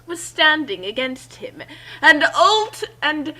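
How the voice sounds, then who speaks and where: A woman's voice: high-pitched, big pitch swings, slow pace, sharp tone, rough recording. A woman narrating a story aloud in a dramatic reading, breaking off for casual commentary.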